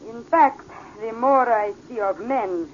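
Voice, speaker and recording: A passionate, worldly Italian madame in a 1940s radio play. Her high voice carries an Italian accent and formal, theatrical phrasing. The sound is dull, with clear vintage noise. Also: slow pace. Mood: wistful, poised. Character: worldly Italian woman, mature, passionate, secretly protective mother. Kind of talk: 1940s radio drama acting. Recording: muffled, noisy vintage radio recording.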